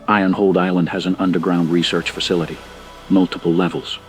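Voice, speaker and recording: An actor playing a grim informant in an audio drama, speaking in grave, measured and emphatic warnings. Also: male voice, sits low, level tone, soft background music, natural voice. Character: grim male informant warning of bioweapon outbreak.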